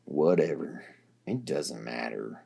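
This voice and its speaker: Man putting on a gruff tired boss, southern drawl, very low voice, slow and lazy delivery. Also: animated, warm tone, full character voice. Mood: weary.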